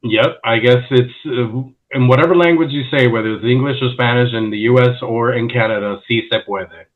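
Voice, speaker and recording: Male podcast host, friendly and eager, mid pitch, slightly muffled sound. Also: fairly quick. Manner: enthusiastic, friendly. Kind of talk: casual interview. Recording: slightly dull lo-fi audio.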